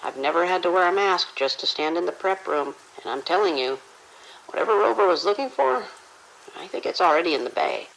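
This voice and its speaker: Man acting a creaky-voiced, rattled morgue attendant. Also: high voice, animated, fairly quick, a bit bright, heavily acted, rough recording. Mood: scared, insistent. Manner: uneasy, insistent. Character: frightened, overworked male morgue attendant. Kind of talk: radio drama acting.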